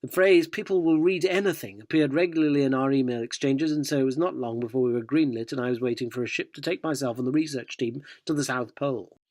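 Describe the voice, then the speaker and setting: A man's voice: mid-range voice, fast talker, darkish tone, dry recording, natural voice. An actor playing a cynical, self-important male newspaper reporter, narrating a radio drama in a wry, wordy, sardonic way. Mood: sardonic, dry.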